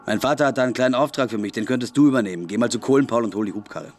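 A man's voice: mid-range voice, very fast, a little mellow, faint music behind, close to an everyday voice. An actor playing a bossy, taunting teenage boy who extorts younger kids, in a radio play. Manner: taunting, bossy, mock-generous. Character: bullying teenage boy extortionist, sneering and commanding.

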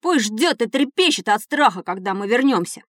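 A woman's voice: a little high, normal speed, no room sound, studio quality, natural voice. A woman playing an eager, boastful schoolboy telling stories in a scripted educational audio drama. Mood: animated. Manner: animated storytelling.

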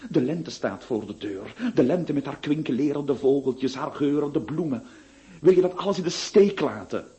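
A man's voice: medium pitch, animated, moderate pace, mellow, lightly acted. A man playing a scheming, theatrical notary. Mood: coaxing. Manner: theatrical, swinging from syrupy coaxing to gleeful threats. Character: scheming middle-aged male notary, wheedling yet menacing. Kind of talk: radio drama acting.

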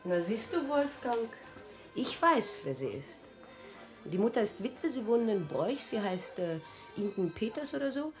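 A haughty noblewoman of a radio play, a disdainful gossip with a pointed tongue. Her pitch swings freely as she insinuates and dismisses. Faint music plays behind her. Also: sing-song, a little slow. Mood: sly gossip. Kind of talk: German radio drama acting.

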